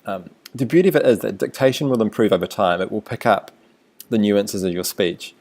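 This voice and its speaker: A man with a New Zealand accent, a teacher talking through a screencast tutorial in a relaxed, thinking-aloud way. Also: on the low side, brisk.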